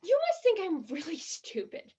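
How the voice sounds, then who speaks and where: A woman acting a shy, fibbing teenage tourist girl in a stage play, defensive and dreamy, with a husky, breathy voice. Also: very high voice, sing-song, normal speed, dark tone. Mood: embarrassed, defensive.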